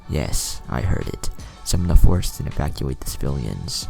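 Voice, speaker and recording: A man's voice: rumbling low, big pitch swings, moderate pace, vocal fry, over music, voice pushed down, full character voice. A man voicing a commanding elderly village leader in a story, firm and authoritative.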